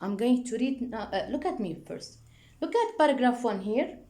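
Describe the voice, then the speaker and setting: A woman's voice: on the high side, big pitch swings, normal speed, dark tone. A woman teacher giving a classroom lesson, often checking in with her students and using frequent fillers.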